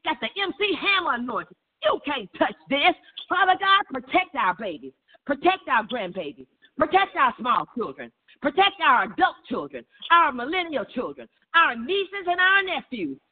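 A woman's voice: high voice, sing-song, normal speed. A woman leading fervent charismatic prayer in an impassioned, repetitive, chant-like way over a tinny phone line. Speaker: prayer leader. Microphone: telephone.

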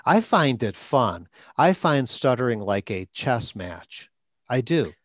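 A man's voice: mid-range voice, big pitch swings, slow pace, dry recording, clean studio sound. A man hosting a podcast as a stuttering coach, talking in short phrases with an occasional stutter block. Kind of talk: conversational coaching talk.

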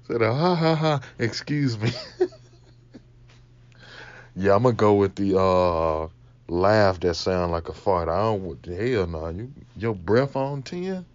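A young man with a Mississippi accent, hosting a casual solo Q&A, talking slowly in a laid-back, mumbling way. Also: lively intonation, dry recording, muffled recording. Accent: Mississippi Southern US. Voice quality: deep voice. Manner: slow, laid-back, mumbling, laughs often. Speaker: podcast host.

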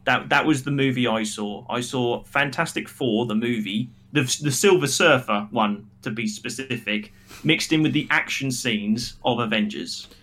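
A man's voice: medium pitch, moderate pace, fairly bright tone. A man co-hosting a podcast, chatting casually about films in a blunt, emphatic and critical way. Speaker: podcast co-host reviewing films. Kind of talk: casual opinionated review chat.

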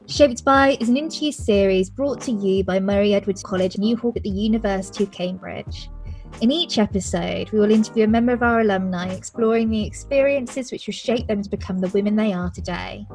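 A woman's voice: mid-range voice, brisk, a little mellow, poor audio. Podcast host: young woman, mid-range voice, scripted presenting and interview questions, music in the recording.

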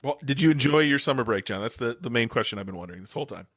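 A man's voice: medium pitch, lively intonation, rapid-fire, a bit bright, husky, no echo, clean studio sound. An American man co-hosting a podcast in casual, teasing, irreverent banter. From United States, Wisconsin. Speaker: podcast co-host.

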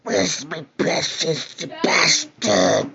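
A croaking, gravel-throated creature that drags every word out and whines for its lost treasure. The game audio is muffled and lo-fi, with steady noise underneath. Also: male voice, a little high, heavily acted. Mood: frantic. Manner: drawn-out, whining, cursing demands.

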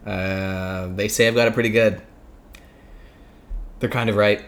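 A man's voice: fairly low pitch, fairly even intonation, a little slow. A man with a Canadian accent giving casual, joking and somewhat hesitant answers in an interview. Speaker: podcast guest.

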